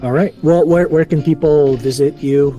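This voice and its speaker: A man hosting a podcast interview, asking relaxed questions and giving short, easygoing replies. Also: fairly high pitch, normal speed, faint music behind. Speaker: podcast host. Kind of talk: casual interview.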